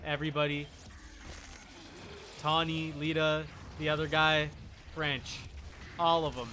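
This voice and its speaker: A man giving casual, deadpan joking live commentary while playing a video game. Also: fairly high pitch, a little slow. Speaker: game streamer. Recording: clear background noise.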